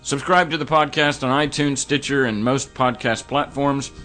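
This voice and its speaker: A middle-aged man hosting a podcast, talking in an earnest, reflective way that turns halting when he speaks of personal matters. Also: medium pitch, steady tone, pushed and bright, soft background music. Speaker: podcast host. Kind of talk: podcast intro and interview.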